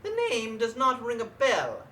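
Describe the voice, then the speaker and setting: A woman's voice: fairly high pitch, lively intonation, measured pace, sharp tone, distant mic, slight background noise. A woman voicing a sly male villain professor who runs a wax museum, suave and theatrical with sudden menace. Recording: roomy echo, voice set back from the mic.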